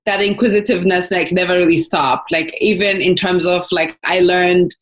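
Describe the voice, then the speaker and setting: A young woman from Ethiopia answers interview questions in a relaxed, rambling way. Her voice sits a little low, and she pauses often with fillers and restarts her sentences as she thinks. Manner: thoughtful, many fillers and restarts. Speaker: founder, guest. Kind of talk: casual interview talk.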